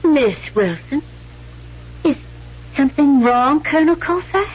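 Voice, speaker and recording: A woman's voice: high voice, animated, a little slow. An actress in a 1970s radio drama playing a poised, affectionate young lady with a dramatic, questioning manner. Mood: uneasy, probing. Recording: dull vintage radio broadcast recording.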